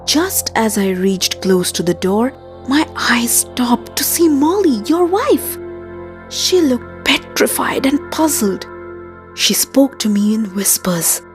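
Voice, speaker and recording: A woman storyteller voicing a village midwife who recounts an eerie encounter in measured, suspenseful folktale style. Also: on the high side, very animated, faint music behind. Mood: startled, fearful.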